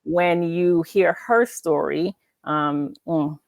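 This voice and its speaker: A woman's voice: low-pitched, slow pace, creaky, darkish tone, dry recording. Emphatic woman hosting a heartfelt talk show. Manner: emphatic, affirming, repeats phrases for emphasis. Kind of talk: faith-based conversational interview.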